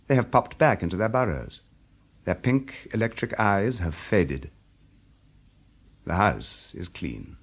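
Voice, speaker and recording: Slow, low-voiced male story narrator. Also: expressive, slightly rough. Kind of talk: scripted audio drama story narration. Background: music throughout.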